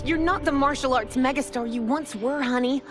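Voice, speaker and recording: An actress playing a movie star's blunt, exasperated wife in a video game cutscene, speaking with pointed sarcasm. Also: female voice, sits high, moderate pace, music in the background, lightly acted. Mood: frank, sarcastic. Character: exasperated, blunt wife of a fading film star.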